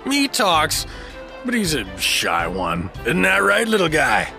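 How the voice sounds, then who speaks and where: A man's voice: a little high, huge pitch swings, slow pace, gravelly, bright, forceful tone, soft background music, full character voice. A man playing a goofy, boastful, drunken adventurer in a fantasy audio drama, slurring and muddling his words. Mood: tipsy, cheerful.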